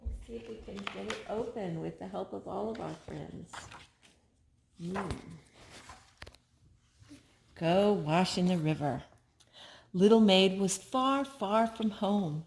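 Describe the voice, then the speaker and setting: An older woman reading a children's story aloud as a storyteller, with simple, deliberate phrasing for young listeners. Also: mid-range voice, vocal fry, darkish tone, a little hiss or hum.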